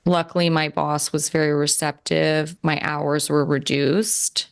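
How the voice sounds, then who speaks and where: A woman's voice: sits low, little pitch movement, unhurried, gravelly. A young adult woman co-hosting a podcast, talking in an earnest, reflective way with many fillers. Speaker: podcast co-host. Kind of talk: casual chat.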